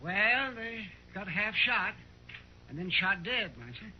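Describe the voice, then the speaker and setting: Wry small-town western doctor, raised pitch, folksy, muttering, 1950s radio drama, faint hiss. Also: male voice, sing-song, slow, heavily acted. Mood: wry, busy. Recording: muffled, faintly noisy 1950s radio recording.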